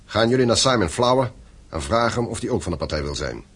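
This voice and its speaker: A man's voice: fairly low pitch, lively intonation, fast talker, fairly bright tone, slightly rough. An actor in a radio play playing a stern, domineering middle-aged father who owns a mine, commanding and reproachful. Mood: stern, businesslike. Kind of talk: Dutch radio play acting.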